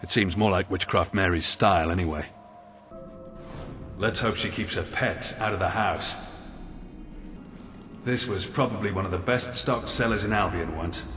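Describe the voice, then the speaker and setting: A low-pitched wary military companion in a video game. He is articulate, scornful and dryly sardonic, and music plays clearly behind his words. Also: moderate pace, long pauses, a bit bright. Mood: dry, observant. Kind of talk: scripted video game dialogue.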